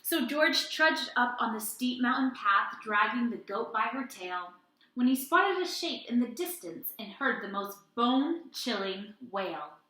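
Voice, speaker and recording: A woman with a fairly high, bright voice reads to young children like a teacher. Her delivery is expressive, and the room adds noticeable echo. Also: a little slow. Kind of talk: children's story read-aloud and lesson. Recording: roomy indoor echo.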